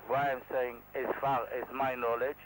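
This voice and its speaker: An Israeli helicopter instructor speaks to camera in halting, non-native English with a modest, self-deprecating manner. His voice sits at an ordinary middle pitch, and a faint noise runs under it. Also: little pitch movement, rough recording. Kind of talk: candid talk to camera.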